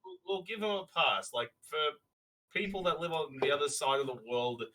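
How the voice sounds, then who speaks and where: An Australian man talking casually in a relaxed group conversation. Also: a little high, a little fast, poor audio. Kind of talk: casual chat.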